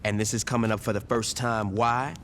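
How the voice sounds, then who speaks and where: A man's voice: on the low side, flat delivery, fairly quick, a bit bright, a little hiss or hum, natural voice. A man playing a tough gang leader in a video game, blunt, dry and sarcastic. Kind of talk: video game cutscene acting.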